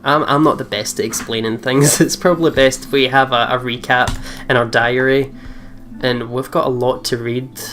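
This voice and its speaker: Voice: mid-range voice, steady tone, normal speed, fairly bright tone. A giggly, chatty let's play host, talking casually and reading game text aloud. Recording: music behind the voice. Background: plucked guitar game music.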